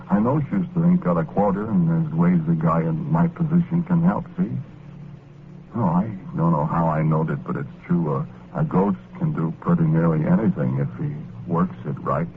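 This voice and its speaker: Low, gravelly, lovelorn ghost. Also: male voice, noisy background. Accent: American. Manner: rambling, plain slangy, folksy storytelling. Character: meek lovelorn working-class male ghost, wistful and forgiving. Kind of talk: 1940s radio drama first-person narration. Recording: muffled old radio recording with music. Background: music.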